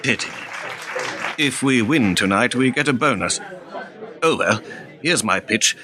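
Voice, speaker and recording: A man's voice: mid-range voice, lively intonation, unhurried, close to an everyday voice. A man playing a wry, chatty character in conversational radio play dialogue. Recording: loud crowd and background noise.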